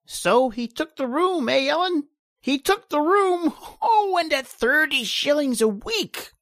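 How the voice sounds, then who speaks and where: A woman playing an eager, excitable husband in an old-time radio drama. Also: high voice, measured pace, dry recording, heavily acted. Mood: excited. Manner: excitable, gushing. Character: eager middle-aged husband, landlady's spouse.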